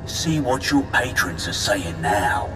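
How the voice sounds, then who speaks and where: A smug scheming crime boss. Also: male voice, medium pitch, animated, slow pace, music in the background. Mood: taunting. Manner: smug, taunting. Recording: roomy, distant game audio with score.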